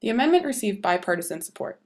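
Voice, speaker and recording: A woman narrates from a written script in a medium-pitched voice. She presents the material as an explanatory narrator. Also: sing-song, fast.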